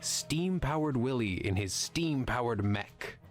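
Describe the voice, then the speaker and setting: A man's voice: mid-range voice, expressive, slow pace, soft background music. A man playing a sarcastic, irreverent film nerd in a visual novel game, wry and mischievous in his needling.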